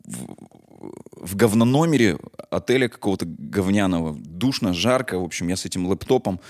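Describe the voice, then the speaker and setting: Low-voiced man telling casual stories. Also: moderate pace. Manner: animated retelling with acted-out dialogue and slangy asides. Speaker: interview guest, composer. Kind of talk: casual anecdotal storytelling.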